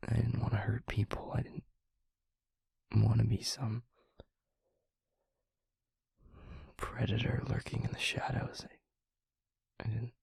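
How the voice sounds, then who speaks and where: A very husky, breathy vampire boyfriend, acted by a man, confides in a slow, halting half-whisper. His low voice crackles with gravelly fry, brooding yet gentle, as in an intimate audio roleplay. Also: completely flat delivery, no echo, exaggerated voice. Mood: pained, hushed. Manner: halting, hushed, earnest, with long pauses. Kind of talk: intimate audio roleplay, soft confessional monologue.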